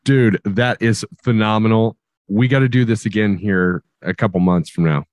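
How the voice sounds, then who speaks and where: A man hosting a podcast, hoarse from a cold, signing off with enthusiastic, slangy casual talk. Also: on the low side, normal speed, darkish tone, no echo. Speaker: podcast host. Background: music.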